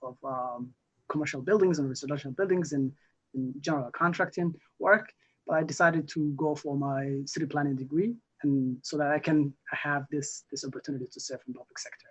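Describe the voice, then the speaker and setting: A young man from Somalia, a newly elected council member, answering interview questions haltingly with many fillers and restarts. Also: fairly high pitch, fairly even intonation, a bit bright, husky. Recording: muffled lo-fi audio.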